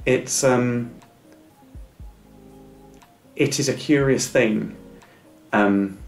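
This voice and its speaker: A man's voice: medium pitch, very slow. A middle-aged man who stammers, giving earnest, encouraging advice in a reflective interview. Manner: stammers with repeated words and restarts. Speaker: interview guest. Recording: echoey room with faint music.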